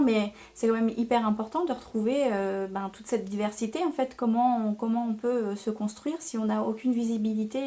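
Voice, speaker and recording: A young woman talking casually about books, pausing often and filling gaps with hesitant sounds. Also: medium pitch, darkish tone. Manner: hesitant, many fillers. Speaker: book vlogger. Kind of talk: casual book chat.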